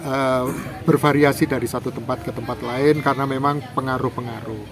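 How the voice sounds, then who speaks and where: A man's voice: a little fast, crowd noise. A man from Java, an interview guest explaining culture in a lecturing, emphatic way. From Java, Indonesia. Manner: lecturing, emphatic, lively rises in pitch for examples.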